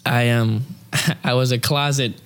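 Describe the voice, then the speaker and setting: A man's voice: medium pitch, steady tone, a little slow, a bit bright, clean tone. Chatty young man, frequent "you know". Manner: rambling, many fillers like "you know" and "um". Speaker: opera singer, interview guest. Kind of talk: casual conversational interview.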